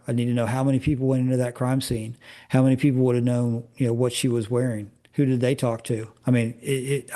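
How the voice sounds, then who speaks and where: Brief: former agent, male, even delivery, warm dark tone, halting. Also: mid-range voice. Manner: measured, hesitant, many fillers. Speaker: guest, former FBI agent. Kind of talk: interview commentary.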